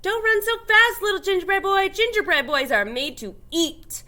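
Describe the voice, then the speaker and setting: A woman's voice: extremely high pitch, lively intonation, moderate pace, bright, forceful tone, voice pushed up, exaggerated voice. A woman voicing hungry farm workers in a storybook, calling out insistently.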